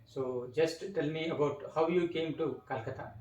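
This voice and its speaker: A man hosting an interview, introducing his guest and asking questions in measured, slightly formal phrasing. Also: a little high, a little mellow, far from the mic, dull audio, a little hiss or hum. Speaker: interview host. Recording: roomy, mic set back.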